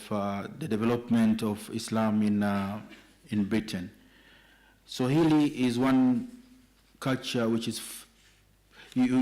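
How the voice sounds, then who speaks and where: A man's voice: fairly low pitch, a little mellow. A middle-aged man from Kenya, speaking as a respondent at an evening panel discussion in a joking, conversational way. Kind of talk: public talk at an evening panel.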